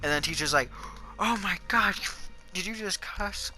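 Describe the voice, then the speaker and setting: A man's voice: high-pitched, normal speed, sharp tone, soft background music. A young man chatting casually in a rambling, sweary storytelling way, as if to a friend while gaming. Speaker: gamer telling stories to a friend. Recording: noisy gaming voice chat with clicks.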